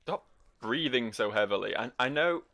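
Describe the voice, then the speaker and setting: A man's voice: mid-range voice, lively intonation, moderate pace, pushed and bright. A man giving casual, joking let's play commentary, rambling and teasing as he talks to the game's character. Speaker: gaming video host.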